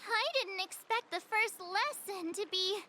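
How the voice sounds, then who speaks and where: A woman voicing a perky, eager teenage girl learning swordplay in an anime-style video game, bubbly and expressive. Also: extremely high pitch, measured pace, a little hiss or hum, exaggerated voice. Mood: playful.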